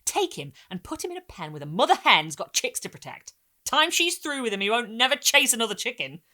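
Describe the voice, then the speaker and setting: Storybook rustic man, read by a woman: emphatic, unsmiling, folksy, lively intonation. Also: on the high side, fairly quick, pitched above the performer's own voice. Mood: grim, knowing. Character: blunt, unsmiling rustic man giving grim advice. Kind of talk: expressive short story reading.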